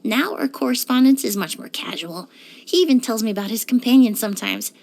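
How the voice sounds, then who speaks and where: An actress voicing a poised young woman perfumer in a video game, speaking in a measured, articulate and refined way. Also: a little high, lively intonation, creaky, darkish tone. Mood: pleased, reflective. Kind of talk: scripted video game character monologue.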